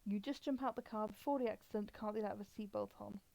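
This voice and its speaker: A woman's voice: mid-range voice, fast, vocal fry, dark tone, rough recording. A woman hosting a live stream with casual, rambling, explanatory commentary.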